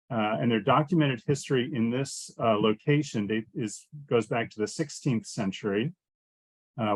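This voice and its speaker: A man archaeologist giving a virtual lecture, often pausing with fillers and restarting his phrases. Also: mid-range voice, no echo, poor audio. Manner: hesitant, many fillers and restarts. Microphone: computer mic on a video call.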